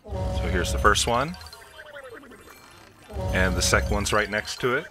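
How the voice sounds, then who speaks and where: A man hosting a video game walkthrough, explaining each step in an instructional way. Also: lowish voice. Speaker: gameplay video host.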